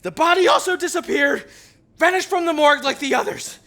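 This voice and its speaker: A man's voice: extremely high pitch, a little fast, pushed and bright. A man playing an agitated, grief-stricken widower in an audio drama, distraught, repetitive and obsessive.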